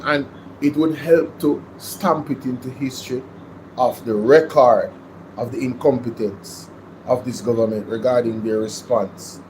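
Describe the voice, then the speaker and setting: A man's voice: medium pitch, dark tone, slight background noise, rough recording. A man with a Jamaican accent hosting a reaction show, commenting emphatically on a political interview clip. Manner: emphatic, sarcastic asides.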